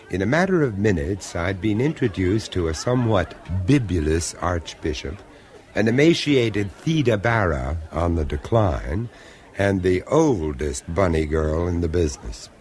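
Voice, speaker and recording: An actor playing an urbane, reserved and wry gentleman, measured and refined, in a 1970s radio horror drama. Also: deep voice, big pitch swings. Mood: wry, ominous storytelling. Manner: measured, refined, theatrical delivery.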